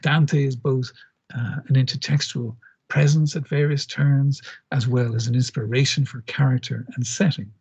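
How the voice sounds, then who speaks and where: A man lectures in a careful, scholarly way, sometimes reading from prepared text and sometimes pausing with "uh" to correct himself. His voice is mid-pitched and a little husky. The audio has a dull, lo-fi quality. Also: fairly even intonation, a little mellow. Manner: measured, with frequent "uh" pauses and self-corrections. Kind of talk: academic lecture, partly read. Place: lecture delivered online or in a lecture room.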